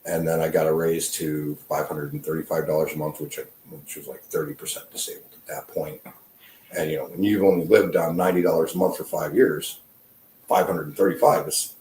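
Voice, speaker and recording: A man's voice: low-pitched, darkish tone, echoey room, poor audio. A man in his fifties, a veteran guest talking casually in a podcast with a rambling, self-deprecating manner and many fillers.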